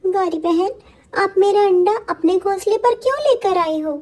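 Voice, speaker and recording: Woman voicing a very high-pitched, gentle mother bird. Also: normal speed. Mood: worried. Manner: polite, pleading. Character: gentle, honest poor mother bird, earnest and pleading. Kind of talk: Hindi children's moral story cartoon dialogue.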